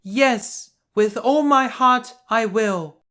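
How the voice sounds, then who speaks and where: A sweet, timid young fairy-tale princess, acted by a man. The voice is a high, light falsetto, slow and earnest. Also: pushed and bright, heavily acted.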